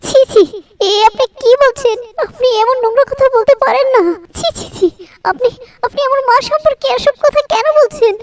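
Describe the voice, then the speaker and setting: Cartoon wife, female actor: young, timid, wounded, stammering, extremely high voice, slightly distant from mic. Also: moderate pace. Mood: hurt, protesting.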